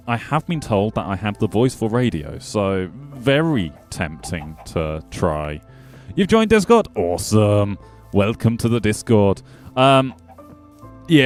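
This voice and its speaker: A man casually commenting on his gameplay as a streamer, chatting to his viewers and joking with the animals in the game. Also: fairly low pitch. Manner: chatty, jokey, talks to chat and game animals.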